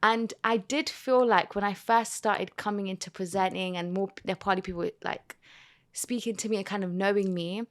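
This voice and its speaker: A young woman talks as a podcast guest, chatty and expressive, sprinkling "like" through her sentences. Her voice sits at a middling pitch, and the recording is dry and close with little room sound. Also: normal speed, no room sound. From London, England. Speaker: guest, TV and radio presenter. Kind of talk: casual podcast chat.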